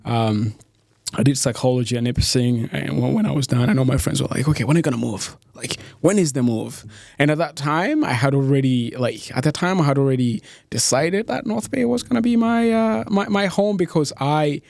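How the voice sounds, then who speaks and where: Chatty young man, halting and dry. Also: mid-range voice, lively intonation, vocal fry, a little mellow, dry recording. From East Africa, born in Tanzania. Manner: halting, many restarts and "like", laughs easily. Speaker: podcast guest. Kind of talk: casual interview chat.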